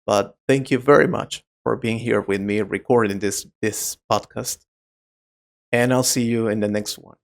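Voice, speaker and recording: Slow-talking male English teacher, non-native speaker. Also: fairly low pitch, steady tone, gravelly. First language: not English (English is second language). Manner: simple, deliberate phrasing with short sentences. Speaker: English teacher and podcast host. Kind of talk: slow educational podcast talk to learners.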